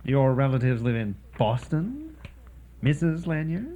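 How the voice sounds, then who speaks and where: A man's voice: mid-range voice, sing-song, unhurried, warm tone, dull audio. An actor playing a nosy, dismissive, skeptical male boarder in a radio drama. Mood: prying.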